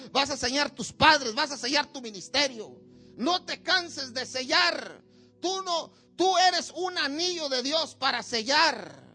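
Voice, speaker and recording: A high-pitched preacher with a bright, sharp tone, delivering a sermon at a church service. The delivery is slow and deliberate, built on emphatic, repeated exhortations, with soft music faintly underneath.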